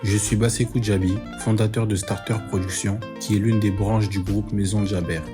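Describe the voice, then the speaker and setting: Low formal male voice-over with guitar. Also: fairly even intonation. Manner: formal and measured. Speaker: production company founder. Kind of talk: scripted voice-over introduction. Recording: voice-over with guitar music behind.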